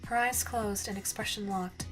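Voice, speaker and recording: A woman's voice: mid-range voice, steady tone, moderate pace, rough recording. Woman narrating a story over music. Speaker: narrator and voice actor. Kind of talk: second-person ASMR story narration.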